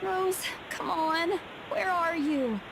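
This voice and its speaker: A woman's voice: very high voice, slow, a bit bright, a little hiss or hum, exaggerated voice, rough recording. An actress playing an anxious, exasperated young woman searching for her friend in a horror visual novel. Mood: pleading.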